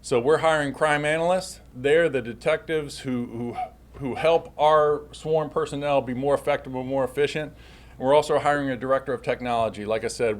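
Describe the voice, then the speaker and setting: A man speaking as a police chief at a public briefing with slides, often repeating and correcting himself as he goes. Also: mid-range voice.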